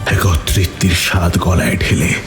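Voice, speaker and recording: A man narrating a scripted horror story in a dramatic, breathy, suspenseful manner. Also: low voice, flat delivery, unhurried. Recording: music under the voice.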